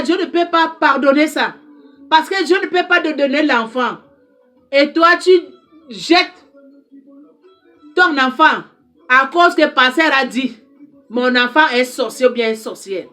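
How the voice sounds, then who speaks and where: A woman's voice: high voice, a little slow, pauses often, bright, forceful tone, soft background music. A woman speaking French as a second language, giving an impassioned direct-to-camera address as an advice counselor, emphatic and repetitive as she exhorts her listeners. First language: not French.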